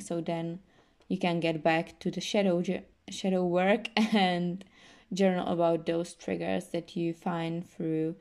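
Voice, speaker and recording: Slow, gravelly-voiced young woman. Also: lowish voice. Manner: slow, soft-spoken, many fillers and restarts. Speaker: podcast host. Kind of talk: casual self-help talk.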